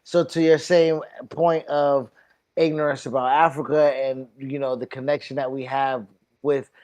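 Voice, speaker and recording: A man co-hosting a casual podcast chat, talking in a halting way and repeating himself as he searches for words. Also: medium pitch, little pitch movement, darkish tone. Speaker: podcast co-host.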